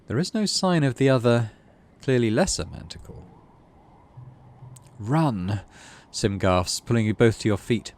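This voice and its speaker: A man's voice: medium pitch, very animated, fairly quick, few pauses, a little mellow. A British man hosting a gaming playthrough, reading game text aloud and chatting casually about it. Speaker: gaming channel host.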